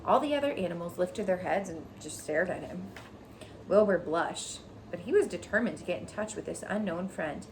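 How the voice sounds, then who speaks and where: A woman's voice: on the low side, expressive, moderate pace, poor audio. A woman teacher reading a children's story expressively, with casual explanations. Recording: noticeable background noise.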